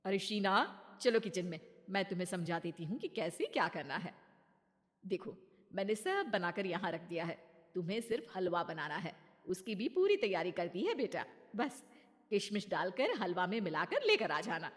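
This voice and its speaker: A woman voicing a kind, understanding middle-aged mother-in-law who speaks gently and reassuringly. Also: fairly low pitch, big pitch swings, moderate pace, long reverb tail. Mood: gentle.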